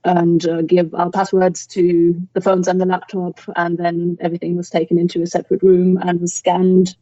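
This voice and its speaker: A woman with a German accent and German as her first language, a podcast guest who talks about her cycling trip in a careful, measured way over a remote call. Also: lowish voice, completely flat delivery, dark tone, dry recording. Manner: careful, measured, some hesitations. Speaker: guest, cycle tourer. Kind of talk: casual interview talk. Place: remote call while travelling. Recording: dry, muffled lo-fi call audio.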